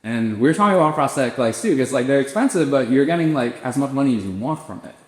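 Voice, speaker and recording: A young man hosts a casual podcast conversation and thinks out loud with plenty of fillers. His pitch moves around a lot as he talks. The room gives his voice a roomy echo with a long tail. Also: fast. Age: young adult. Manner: relaxed, thinks aloud with many fillers. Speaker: podcast host. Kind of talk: casual chat. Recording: echoey room with long reverb.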